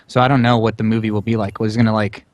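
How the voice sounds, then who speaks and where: A man's voice: on the low side, flat delivery, rapid-fire, no room sound. A man co-hosting a podcast over an online call, talking casually in a rambling, hedging way. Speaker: podcast co-host. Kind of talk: casual group chat.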